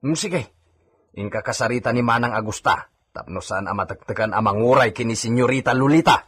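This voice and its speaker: A man's voice: medium pitch, fairly quick, a bit bright, breathy edge. A man playing a cautious household servant in a radio drama, warning and admonishing with an Ilocano accent. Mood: scolding, warning. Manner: admonishing, wary.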